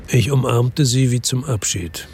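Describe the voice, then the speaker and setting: A man's voice: measured pace, darkish tone, a little hiss or hum, close to an everyday voice. An actor playing a weary hard-boiled investigator who narrates his own story in a radio drama, terse and matter-of-fact. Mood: measured, tense. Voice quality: low, gravelly, husky. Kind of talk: scripted first-person radio drama narration. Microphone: close dry studio microphone.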